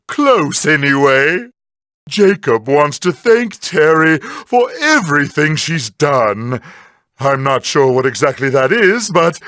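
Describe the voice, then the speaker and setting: An actor playing a sardonic, unhinged villain in a cartoon video game, with a theatrical, sarcastic delivery. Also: male voice, on the high side, fairly bright tone, no echo, clean studio sound, heavily acted. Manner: theatrical, sarcastic, swinging to outbursts.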